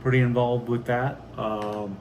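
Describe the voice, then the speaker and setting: A man's voice: medium pitch, measured pace. An American man hosting a homestead vlog, chatting casually and reading out viewer comments. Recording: steady background noise.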